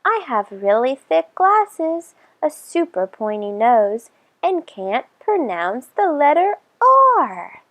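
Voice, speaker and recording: A woman's voice: high-pitched, big pitch swings, slow, highs cut off, voice pushed up, full character voice. A woman voicing candid children in a storybook, each owning up to an oddity. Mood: frank, self-deprecating. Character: candid children listing their own oddities.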